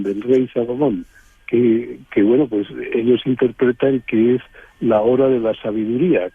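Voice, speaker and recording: Husky man on a phone-like line. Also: mid-range voice, no echo. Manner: halting, digressive, frequent tag questions. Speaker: guest, travel writer. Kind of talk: conversational travel anecdotes in an interview. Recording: muffled, phone-like narrowband line.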